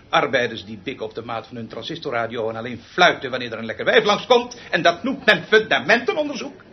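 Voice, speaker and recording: An actor playing a pompous, exasperated, tyrannical perfectionist conductor in a radio play, emphatic and theatrical. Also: male voice, mid-range voice, lightly acted. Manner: theatrical, emphatic, swinging from muttering to bellowing. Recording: muffled, noisy old radio play recording.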